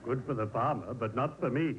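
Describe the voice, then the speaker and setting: An actor playing a friendly, ghoulishly cheerful undertaker with florid, formal, droll speech in 1940s radio comedy style. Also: male voice, medium pitch, a little fast, muffled recording, close to an everyday voice. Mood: genteel, droll. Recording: very noisy vintage radio recording with clicks.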